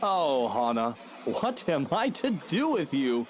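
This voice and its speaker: Courtly husband, animated and expressive. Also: male voice, medium pitch, slow pace, slight background noise. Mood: teasing, theatrical. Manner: formal, courtly phrasing. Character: Luke, suave aristocratic husband, earnest and coaxing. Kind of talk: scripted visual novel voice acting.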